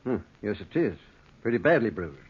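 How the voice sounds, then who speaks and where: An actor playing a calm, skeptical doctor, measured and reassuring, in old-time radio drama style. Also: male voice, fairly low pitch, slightly rough. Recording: thin, narrow-band vintage radio recording.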